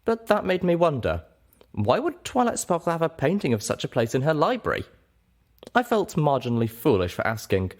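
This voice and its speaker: A man's voice: on the high side, wildly swooping pitch, a little fast. A man reading a first-person story aloud as its narrator. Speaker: story reader.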